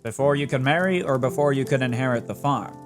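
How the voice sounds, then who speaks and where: Comic-dub drama: male actor as courtly gentleman hunter, smooth-mannered with quiet menace, medium pitch, prominent music bed. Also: quick pace, lightly acted. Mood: soothing, courtly. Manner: genteel, wordy, calls people dear and darling. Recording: voice over clear background music.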